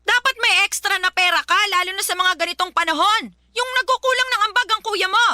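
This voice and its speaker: A woman's voice: very high-pitched, brisk, no echo, clean studio sound, heavily acted. A woman playing a harsh, scornful mother in a Tagalog radio drama, scolding and badgering for money. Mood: irritated, demanding. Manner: scolding, sharp-tongued, badgering.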